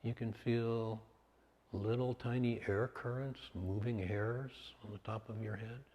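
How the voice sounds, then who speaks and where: A man leading a guided meditation in short, measured phrases with pauses between them. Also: on the low side, steady tone, darkish tone. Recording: long hall-like reverb.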